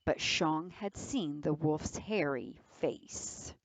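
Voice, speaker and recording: A woman storyteller reading aloud to children in a deliberate, dramatic narrating style. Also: low voice, rough recording.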